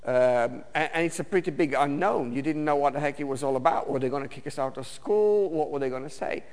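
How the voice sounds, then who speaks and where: A man from Romania, preaching a personal testimony sermon in a halting way, with many fillers and restarts. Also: a little high, darkish tone. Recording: long reverb of a hall.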